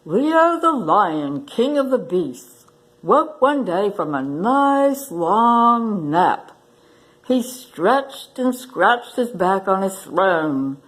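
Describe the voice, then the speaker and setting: A woman reading a children's picture book aloud in a deliberate, expressive storytelling manner. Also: medium pitch, poor audio. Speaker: library staff story reader.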